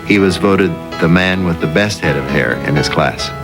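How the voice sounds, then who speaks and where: Deep-voiced doctor in a radio ad. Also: male voice, moderate pace. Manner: formal, explanatory. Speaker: hair transplant doctor in an ad. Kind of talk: measured advertising testimonial. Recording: radio ad over guitar music. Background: guitar music bed.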